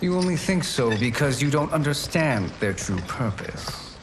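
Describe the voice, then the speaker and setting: A man playing a smooth-talking, calculating villain in a video game cutscene, formal and self-assured. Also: mid-range voice, sing-song, unhurried, very noisy. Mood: assured explaining.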